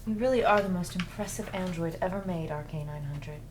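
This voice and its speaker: A woman's voice: lowish voice, fairly quick. A woman acting at a cast table read, moving between script lines and casual chat. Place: indoor room at a group table read. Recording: noisy room recording.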